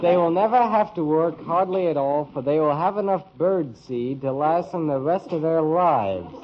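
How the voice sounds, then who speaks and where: Man acting high-pitched brash radio teenage boy. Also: animated, moderate pace, over a noisy crowd, close to an everyday voice. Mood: reading aloud. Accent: American. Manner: slangy, offhand. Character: brash, nosy, casual teenage son. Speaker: actor in radio sitcom. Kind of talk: old-time radio sitcom acting. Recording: dull vintage radio recording.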